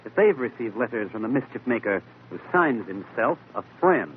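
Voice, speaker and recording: An actor playing a shrewd, polite amateur detective in old radio drama, articulate and inquiring. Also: male voice, medium pitch, big pitch swings, lightly acted. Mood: composed, inquiring. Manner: polite, measured questioning, articulate. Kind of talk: 1940s radio acting. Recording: narrow-band, hissy old radio recording.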